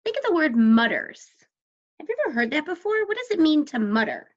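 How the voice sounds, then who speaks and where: A woman with a highish, swooping voice speaks to young children as their teacher. She explains and questions in simple, deliberate language. The sound is a little muffled. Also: very animated. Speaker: teacher guiding young children through a story.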